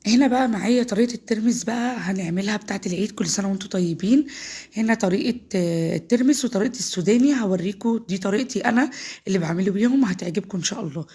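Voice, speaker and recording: Fast-talking husky Egyptian woman with a cold. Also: mid-range voice, warm tone. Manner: chatty, talks viewers through each step. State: voice changed by a cold. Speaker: home cook. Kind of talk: casual cooking tutorial. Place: home kitchen.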